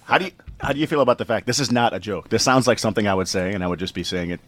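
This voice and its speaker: A man in his forties co-hosting a casual podcast with wry, animated asides. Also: lowish voice, rapid-fire. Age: 40s. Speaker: podcast co-host.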